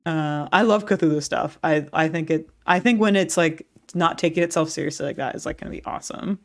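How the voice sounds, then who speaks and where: A woman's voice: low voice, fairly quick, soft background music. A young woman chatting casually as she streams a game and reads its dialogue aloud, laughing often and restarting her sentences.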